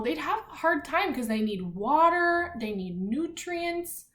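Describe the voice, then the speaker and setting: A woman's voice: fairly high pitch, a bit bright. A woman teacher reading aloud to young children, explaining things simply and thinking aloud as she goes. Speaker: primary school teacher. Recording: echoey room.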